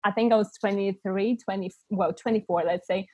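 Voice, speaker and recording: A woman's voice: mid-range voice, steady tone, fairly quick, darkish tone, husky, dry recording. A young woman with a Bulgarian accent, speaking as a podcast guest and reflecting candidly in a conversational interview. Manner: reflective, thinks aloud with frequent restarts.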